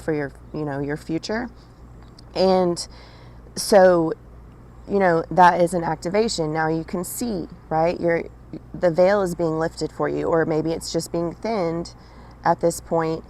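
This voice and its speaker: A woman with a raspy voice giving a casual channelled spiritual message, talking straight to the listener with frequent "right?" and "okay?". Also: lowish voice, darkish tone, slight background noise.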